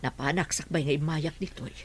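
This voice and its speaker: A woman's voice: deep voice, steady tone, fairly quick, husky. A woman playing a caring, worried middle-aged mother in a radio drama.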